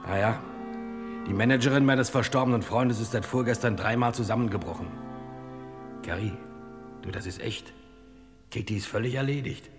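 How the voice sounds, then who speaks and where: An actor playing a frank, sardonic sidekick in an old-style crime radio play, speaking in a wry, theatrical way. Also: male voice, mid-range voice, normal speed, long pauses, lightly acted. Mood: mock solemn. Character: sardonic sidekick, frank and mock-respectful toward his boss. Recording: music behind the voice.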